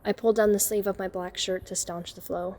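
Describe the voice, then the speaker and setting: Woman as young female narrator, watchful, mellow tone, medium pitch, first-person audio drama storytelling. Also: fairly even intonation, fairly quick, natural voice. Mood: measured, suspenseful. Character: watchful young woman recounting a night break-in.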